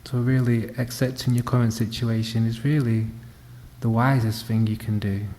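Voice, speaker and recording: A man leads a guided body scan meditation, speaking calmly and gently with long, unhurried pauses. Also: medium pitch. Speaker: meditation teacher. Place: indoor room at a wellness centre. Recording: reverberant hall, faint background noise.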